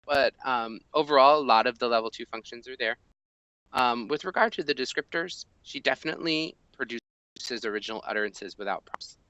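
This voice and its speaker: A man in his thirties, a language teacher, talking through an assessment form at his desk, thinking aloud with many ums and pauses. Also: medium pitch, pushed and bright, no room sound, poor audio. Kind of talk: screen-recorded walkthrough of an assessment. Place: small indoor room at a desk.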